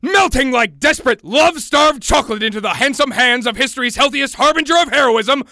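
A man's voice: very high-pitched, brisk, bright, forceful tone, dry recording, clean studio sound, exaggerated voice. A man playing a pompous, melodramatic narrator of a comic detective radio serial. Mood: overblown.

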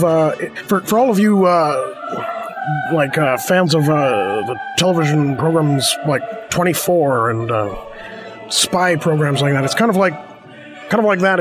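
A hoarse man introduces a comedy segment with a rambling, self-mocking chattiness. His voice sits a little high, and music plays clearly behind him. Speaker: podcast host introducing a segment.

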